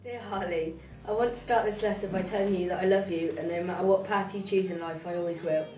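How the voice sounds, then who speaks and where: A woman's voice: lowish voice, steady tone, fast, a little mellow, faint music behind. A young single mother reading a sincere, tender letter aloud. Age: young adult. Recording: roomy, distant.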